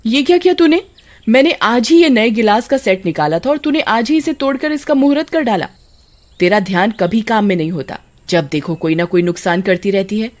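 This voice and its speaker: A woman's voice: fairly high pitch, close to an everyday voice. A woman voicing a strict, irritable mother who scolds sternly in a horror story.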